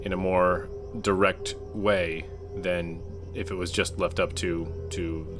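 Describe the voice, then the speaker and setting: Low-voiced man chatting over music. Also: level tone, takes it slowly. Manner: joking asides, friendly chat. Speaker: podcast co-host. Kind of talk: casual podcast chat.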